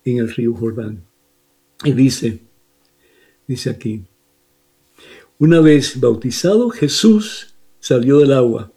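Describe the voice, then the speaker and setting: A man's voice: medium pitch, lively intonation, takes it slowly, long pauses, warm tone, breathy edge. A man, a priest on a radio program, answering listeners' questions and explaining Bible verses in an earnest preaching manner. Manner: earnest preaching, quotes verses, asks listeners if they heard.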